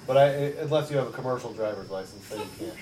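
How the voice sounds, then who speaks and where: A man's voice: mid-range voice, very fast, mellow, low-quality recording. A man joining a casual chat, answering briefly and matter-of-factly.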